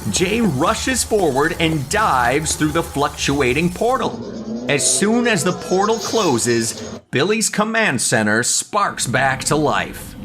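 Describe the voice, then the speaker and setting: A man's voice: fairly high pitch, very animated, slow, music in the background. A man narrating a superhero audio drama in an earnest, dramatic scripted storytelling style, with background noise.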